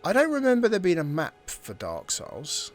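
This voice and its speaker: A man's voice: high voice, wildly swooping pitch, normal speed, soft background music. A man hosting a gameplay video, thinking aloud in informal, casual commentary.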